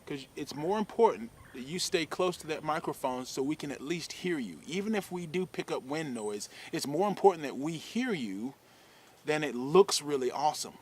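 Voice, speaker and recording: A man, speaking casually outdoors under a shade tree into a camera-mounted shotgun mic, explaining fishing-video gear in a relaxed, rambling way. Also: a little high. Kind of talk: casual gear advice vlog.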